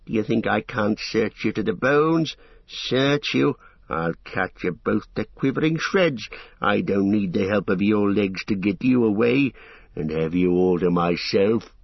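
A man's voice: on the low side, animated, a little slow, creaky, breathy edge, no echo, muffled recording, full character voice. A man voicing a menacing, greedy orc in an audiobook, hissing and drawing out mock-tender words with cruel relish. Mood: furious. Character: sly, menacing male orc, greedy, falsely sweet, cruel. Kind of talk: audiobook fantasy villain.

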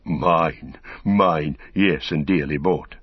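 A man acts out a fallen old wizard from a fantasy audiobook, proud and full of scorn. His voice is deep and husky, with mocking, grandiose phrasing that lingers on words. The recording is somewhat dull. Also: steady tone, dry recording, heavily acted. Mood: sneering resentment. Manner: mocking, archaic grandiose speech with drawn-out words.

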